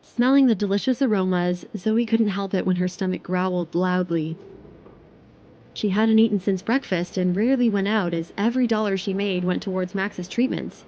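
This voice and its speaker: A woman's voice: mid-range voice, moderate pace, mellow, highs cut off, a little hiss or hum. A woman narrator reading a scripted third-person romance story for an audio drama.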